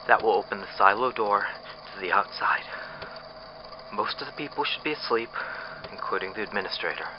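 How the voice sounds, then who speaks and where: An actor playing a frightened, bitter captive test subject recording an escape diary in an audio drama, speaking in a halting, hesitant way. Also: male voice, fairly low pitch, lightly acted. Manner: halting, hesitant, breathy sighs. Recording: muffled lo-fi tape with clear noise.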